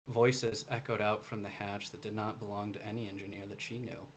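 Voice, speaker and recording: Male author, low monotone delivery, some steady background noise. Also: a little fast. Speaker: debut author reading from own novel. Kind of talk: reading a prose passage aloud, with some informal introduction.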